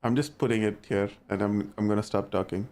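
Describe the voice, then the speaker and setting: A man's voice: lowish voice, steady tone, brisk, darkish tone. A young man from India with an Indian accent, chatting casually with his live-stream viewers and reacting to their messages.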